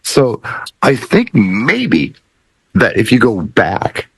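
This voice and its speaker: A man on a DJ talk show panel, explaining things casually and thoughtfully with deliberate pauses. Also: fairly low pitch, wildly swooping pitch, vocal fry, a bit bright, slightly rough, no room sound, poor audio.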